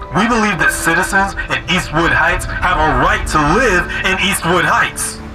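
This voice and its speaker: A man's voice: on the high side, natural voice, rough recording. A man playing an earnest, resolute community leader in an audio drama, speaking emphatically like an orator. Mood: earnest, firm. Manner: oratorical, emphatic, repeating phrases. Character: earnest, resolute young male community leader rallying neighbors. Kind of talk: rousing speech in an audio drama. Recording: music bed under the voice.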